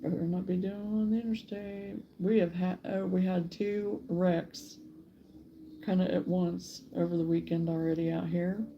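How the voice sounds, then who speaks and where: A woman's voice: on the low side, fairly even intonation, lo-fi sound, a little hiss or hum. A middle-aged woman hosting a casual live stream from her rural home, chatting and drawing out her greetings to viewers. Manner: chatty, drawn-out greetings to commenters. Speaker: host of a live crochet stream.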